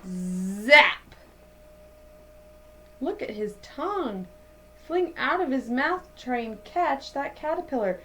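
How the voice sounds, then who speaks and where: A woman in her thirties reading a picture book aloud to children like a teacher, with deliberate, dramatic emphasis. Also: sits high, animated, a bit bright. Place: small indoor room at home.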